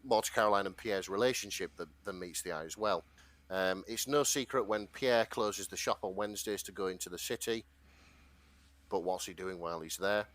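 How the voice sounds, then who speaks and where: A man's voice: sits low, brisk, a bit bright. A man talking casually and wryly as a podcast co-host, summarising and reading out a story in an informal way. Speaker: podcast co-host presenting a fan fiction story.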